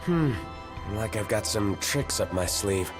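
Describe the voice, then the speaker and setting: A man's voice: lowish voice, expressive, unhurried. An actor playing a guarded young man, a terse, understated hero in a video game. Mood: cool. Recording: music under the voice.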